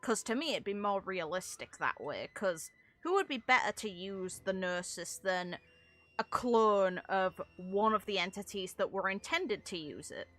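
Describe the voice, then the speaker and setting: A woman's voice: mid-range voice, animated, measured pace, fairly bright tone, faint music behind. A woman talking casually and analytically through game lore, with opinionated, rambling asides. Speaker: content creator commentating on a game.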